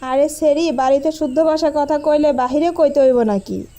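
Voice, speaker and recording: A woman's voice: sits high, normal speed, mellow. A woman playing a chatty, teasing teenage girl in a cartoon, colloquial, with a rural Bangladeshi accent. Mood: breezy, teasing.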